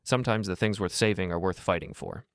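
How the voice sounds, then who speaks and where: A man narrating a story and speaking as a calm betrayed husband, a mechanic who hides what he knows. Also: sits low, quick pace, no room sound, studio quality, close to an everyday voice. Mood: feigned casual calm.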